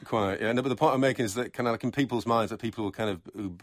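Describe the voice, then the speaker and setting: A man's voice: medium pitch, very fast, no room sound. A man hosting a late-night radio show in a studio, chatting with a guest and summarizing her answers in German. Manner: chatty, leading questions with trailing tags. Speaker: radio host. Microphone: studio microphone.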